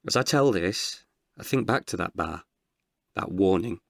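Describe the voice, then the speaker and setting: A man performing scripted narration of a comic horror tale as a dramatic storyteller, with hushed suspense and deliberate pauses. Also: low-pitched, lively intonation, gravelly, dry recording, clean studio sound.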